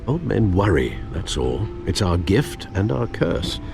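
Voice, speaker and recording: A man's voice: on the low side, wildly swooping pitch, slow pace, vocal fry, music in the background, full character voice. An actor playing a wry, fretful, fatherly old butler in a video game, with a dry and formal way of talking. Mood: wry, concerned. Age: older.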